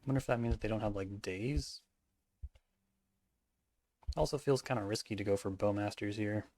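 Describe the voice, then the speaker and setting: Low-voiced man thinking aloud over gameplay. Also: moderate pace, a little mellow, no echo. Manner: thinking aloud, mumbled asides. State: voice hoarse and cracking. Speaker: card game player and commentator. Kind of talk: casual gameplay commentary.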